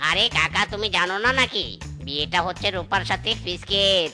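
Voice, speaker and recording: A woman's voice: fairly low pitch, a little fast, over music, close to an everyday voice. A woman voicing a cheeky, gossipy animal vegetable seller in a comic cartoon, chatty and teasing.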